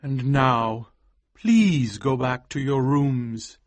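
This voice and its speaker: A man voicing an anxious, obsessive male scientist who is devoted to his wife, speaking slowly and intensely. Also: medium pitch, lively intonation, dry recording. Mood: earnest tender.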